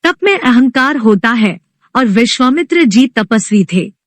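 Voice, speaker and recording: A woman narrating a scripted devotional tale as a storyteller, with a measured, expressive moral-tale delivery. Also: on the high side, no room sound. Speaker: storyteller and channel host.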